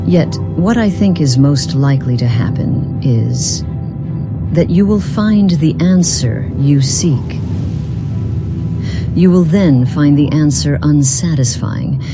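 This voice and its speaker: A woman acts out an ageless, lonely being from beyond the mortal world in a fantasy game. Her voice is low for a woman, with measured, formal and philosophical wording. Music plays clearly behind her. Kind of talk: voiced role-playing game dialogue.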